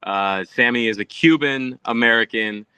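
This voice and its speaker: Earnest musician guy from puerto rico, bright voice, casual interview chat, dry close sound. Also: medium pitch, moderate pace, clear, resonant tone, no room sound. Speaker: podcast guest, musician.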